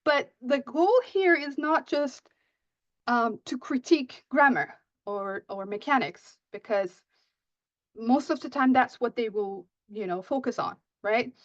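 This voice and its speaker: A woman's voice: fairly high pitch, expressive, measured pace, dry recording. Persian-speaking woman giving a teaching talk. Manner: frequent "right?" checks, many restarts. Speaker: writing instructor. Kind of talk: conference presentation. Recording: muffled dry audio.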